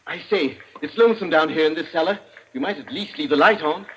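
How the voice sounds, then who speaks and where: An actor playing a suave, cunning captive in a 1940s radio drama, politely measured and drily ironic, with small hesitant pauses. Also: male voice, a little high, fairly bright tone, slight background noise, lightly acted. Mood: calling out. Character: suave, cunning male captive, inventor of a trap safe. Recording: dull, lo-fi 1940s radio recording.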